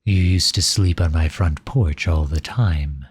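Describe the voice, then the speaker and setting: Male, actor in character, grumpy tsundere for ASMR roleplay: low, husky, unhurried, sarcastic and exasperated. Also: darkish tone, full character voice. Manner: sarcastic, flustered, grudging.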